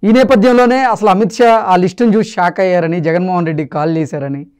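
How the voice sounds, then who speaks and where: High-voiced young male interviewer. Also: steady tone, fast, darkish tone, smooth. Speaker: interviewer, journalist. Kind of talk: conversational news interview.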